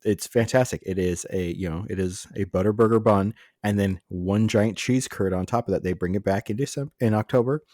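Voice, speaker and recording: A man's voice: on the low side, brisk, hardly any pauses, dark tone, no echo, clean studio sound. An American man co-hosting a podcast in casual comedic chat, ranting with mock exasperation. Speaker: podcast co-host.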